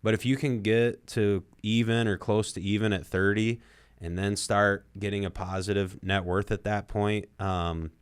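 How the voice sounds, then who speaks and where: A man's voice: on the low side, fairly even intonation, normal speed, clear, resonant tone, no room sound. A young man co-hosting a personal finance show, offering advice conversationally as he thinks aloud. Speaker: co-host and financial advisor.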